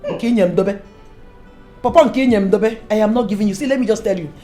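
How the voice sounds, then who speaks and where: A woman's voice: mid-range voice, fast, warm tone, soft background music, lightly acted. A woman with a Nigerian accent playing a stubborn, scolding mother in a comedy skit. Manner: scolding and insistent.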